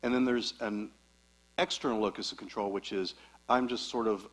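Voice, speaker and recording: A man speaking as a guest psychologist at a church talk, explaining concepts clearly and patiently. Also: on the low side, steady tone, normal speed.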